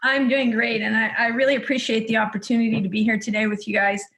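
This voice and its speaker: Woman from Montreal, measured explanatory tone, investor guest on a video call. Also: on the high side, little pitch movement, a bit bright. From Montreal, Canada. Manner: measured, explanatory, figures-heavy. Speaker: real estate investor guest. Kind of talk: video call panel talk.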